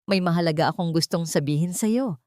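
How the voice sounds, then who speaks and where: A woman's voice: fairly low pitch, a little fast, mellow, dry recording, studio quality, natural voice. A woman voicing an earnest wealthy man.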